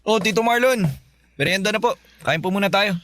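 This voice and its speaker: A man's voice: high voice, fairly quick, a bit bright, faint music behind. An actor playing a polite, generous young man with a Filipino accent in a radio drama. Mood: polite, easygoing. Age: young adult. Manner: respectful, considerate. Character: Wawi, polite, generous young working nephew.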